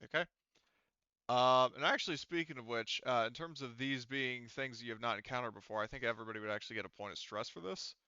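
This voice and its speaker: A man with a slightly low, crisp voice runs a tabletop roleplaying game. He explains casually and hesitantly, in a dry, somewhat dull recording. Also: fast talker, bright, forceful tone, dry recording. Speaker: game master.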